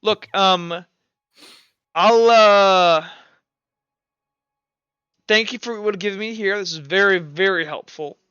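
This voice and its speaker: A man's voice: sits high, takes it slowly, pauses often, bright, forceful tone, clean tone, no room sound, highs cut off, full character voice. Man playing a brash, boozy professor. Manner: brash, swearing, rambling with fillers. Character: blunt hard-drinking male professor, frank.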